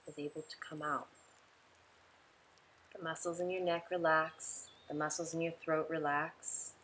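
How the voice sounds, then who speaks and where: A woman leading a guided relaxation meditation in a soothing, unhurried way, giving instructions in short, spaced phrases. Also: sits low, a bit bright, slight background noise, poor audio.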